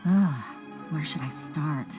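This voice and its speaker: An actress playing a weary, troubled but respectful young clerk in a video game, measured and sighing. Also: female voice, deep voice. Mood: weary, exasperated. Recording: game audio with background music.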